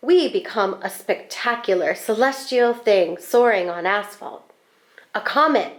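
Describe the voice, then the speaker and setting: Woman reading a picture book, slow and deliberate. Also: mid-range voice, animated. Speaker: school staff storybook reader. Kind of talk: expressive children's picture book read-aloud.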